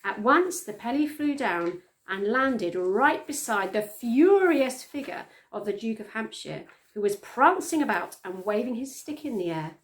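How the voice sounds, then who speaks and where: A middle-aged woman reading a children's story aloud with expressive storytelling delivery. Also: a little high, measured pace, few pauses, low-quality recording. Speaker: storytime reader. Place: small plain room indoors, on a sofa.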